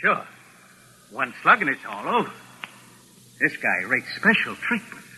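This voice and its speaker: A man's voice: medium pitch, sing-song, unhurried, sharp tone, breathy edge, full character voice, low-quality recording. An actor playing a ruthless, bossy American gangster in 1940s radio drama, talking in menacing tough-guy slang. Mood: sadistic relish. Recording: old 1940s radio broadcast recording.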